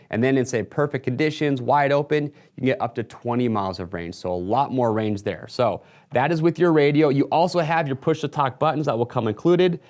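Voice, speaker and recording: Male product presenter, medium pitch, casual and explanatory, walking viewers through gear for a retailer video. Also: a little mellow. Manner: casual, explanatory sales patter.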